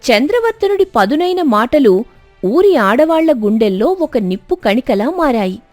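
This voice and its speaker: A woman narrating a scripted moral story dramatically, with expressive, emphatic delivery. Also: sits high, moderate pace, dry recording, faint music behind.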